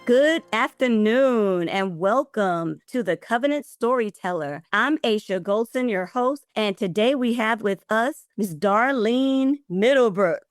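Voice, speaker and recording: A woman's voice: a little high, lively intonation, a little slow, hardly any pauses, no echo, soft background music. A woman hosting an interview podcast, encouraging and affirming her guest. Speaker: podcast host.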